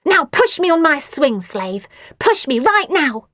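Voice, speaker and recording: A woman voicing a bratty, cruel girl who snarls shrill threats and orders. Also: slow, no echo, clean studio sound. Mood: bossy.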